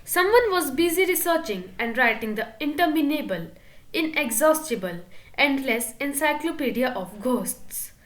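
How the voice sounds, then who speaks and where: A woman reading a children's book aloud in an expressive, playful storytelling manner. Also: high voice, normal speed, reverberant. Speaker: reader.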